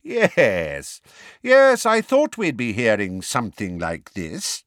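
A man's voice: on the high side, big pitch swings, moderate pace, a bit bright, dry recording, clean studio sound, voice pushed up. A man voicing a pompous, testy middle-aged government minister in an officious, declamatory manner for a storybook. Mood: smug.

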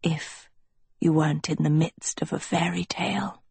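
A woman's voice: low-pitched, fairly even intonation, darkish tone, no echo, heavily acted. Woman voicing a whispery, condescending old schoolmaster. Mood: quietly smug. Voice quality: breathy, whispery. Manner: slow, measured, smug. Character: old male schoolmaster, masked, mysterious, silkily condescending.

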